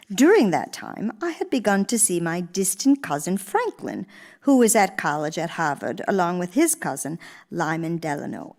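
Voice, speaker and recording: A woman performs an elderly, genteel former First Lady in a theatrical radio monologue. She is gracious and reflective, shaping her phrases deliberately. Her pitch moves widely and expressively as she tells her story. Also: darkish tone. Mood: nostalgic. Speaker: stage actress.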